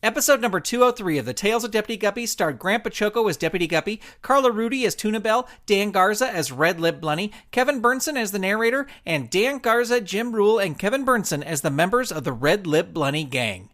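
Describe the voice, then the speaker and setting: A man's voice: sits high, lively intonation, fairly quick, few pauses, fairly bright tone. A man with an American accent reading scripted credits and promos addressed to kids, as an announcer.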